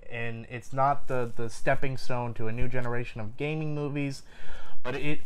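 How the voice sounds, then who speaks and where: A man's voice: medium pitch, clear, resonant tone. A man co-hosting a casual movie review, talking enthusiastically and repeating phrases for emphasis. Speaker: film review co-host.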